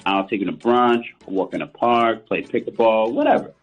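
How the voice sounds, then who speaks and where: A man with a fairly low, husky voice on a muffled phone line. He talks casually and matter-of-factly, completely unbothered, with faint music underneath. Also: moderate pace. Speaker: phone call participant.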